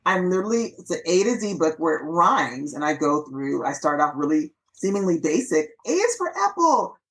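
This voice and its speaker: A woman's voice: deep voice, low-quality recording. A woman from Los Angeles, a physician and author, chatting upbeat in an interview. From Los Angeles, USA. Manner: quick, animated, upbeat and chatty. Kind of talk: casual interview chat.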